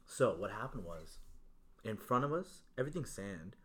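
A man's voice: lowish voice, normal speed. Slangy man telling a story on a podcast. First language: Urdu. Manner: animated, slangy storyteller who says "yo" a lot. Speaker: podcast co-host. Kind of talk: casual podcast chat.

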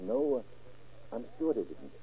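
An actor playing a hesitant, evasive man reporting a robbery in a 1930s radio drama, halting and unsure. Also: mid-range voice, huge pitch swings, creaky, mellow, slightly rough, full character voice. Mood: nervous, evasive. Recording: tinny, narrow old radio recording.

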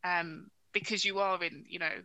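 A woman acting as guest interviewer on a podcast, speaking earnestly and haltingly, often repeating words as she asks her questions. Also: fairly low pitch, steady tone, bright, forceful tone, rough recording.

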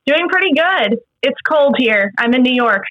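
A woman's voice: sits high, lively intonation, moderate pace, dry recording. A young American woman from Massachusetts chatting casually and gigglingly as an interview guest. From United States, Massachusetts. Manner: giggly, chuckles while talking. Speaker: actress being interviewed as guest. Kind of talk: casual chat. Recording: dull, slightly lo-fi close recording.

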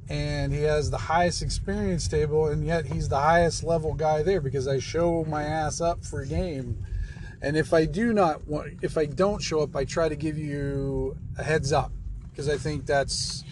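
Blunt male caller, slightly high voice. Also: background noise. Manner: blunt, emphatic. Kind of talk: recorded voice message.

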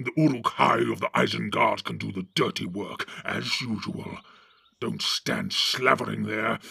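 A man voicing a brutal, boastful orc warlord in an audiobook, barking contemptuous orders in a gravelly voice. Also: on the low side, sharp tone, dry recording, clean studio sound, heavily acted. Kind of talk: audiobook reading.

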